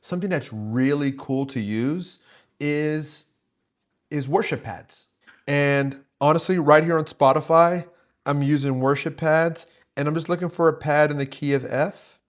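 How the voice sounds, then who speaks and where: A man's voice: a little high, a little slow. A man hosting a casual songwriting tutorial, talking to viewers in a conversational, encouraging and explanatory way. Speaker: host, worship songwriting teacher.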